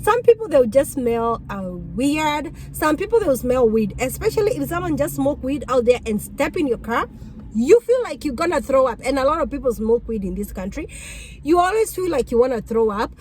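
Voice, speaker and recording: A woman with a Kenyan accent, whose first language is Kikuyu, telling stories about being a rideshare driver in an animated, exclamatory way. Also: high-pitched, normal speed, slight background noise, rough recording. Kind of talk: casual storytelling vlog.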